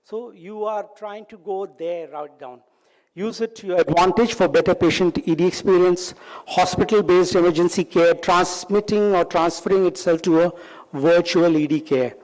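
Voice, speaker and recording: A man's voice: high-pitched, moderate pace, a little mellow, rough recording. A man with an Indian English accent giving an earnest, instructive talk at a medical conference. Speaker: conference speaker. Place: conference hall.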